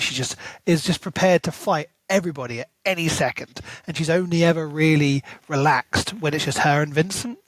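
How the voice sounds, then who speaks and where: A man co-hosting a podcast, chatting casually about a book and thinking out loud with lively rises in his voice. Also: medium pitch, no room sound, rough recording. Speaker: podcast co-host.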